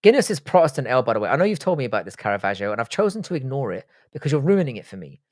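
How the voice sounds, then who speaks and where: A man's voice: medium pitch, very animated, racing, dry recording, clean studio sound. Profane man chatting on a livestream. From born in Ireland, grew up in the UK. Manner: profane, blunt, joking. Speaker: livestream host. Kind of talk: casual live-stream chat reading out viewer questions.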